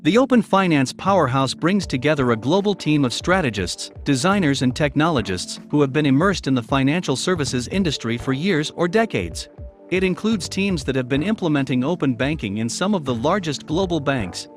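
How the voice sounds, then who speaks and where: A man's voice: a little high, lively intonation, fairly quick, faint music behind. A man reading a scripted corporate video narration.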